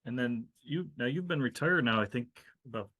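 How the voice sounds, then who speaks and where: A man's voice: medium pitch, lively intonation, a little fast, bright, forceful tone. A man hosting an interview, respectful toward his guest and answering with short affirmations. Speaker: podcast host.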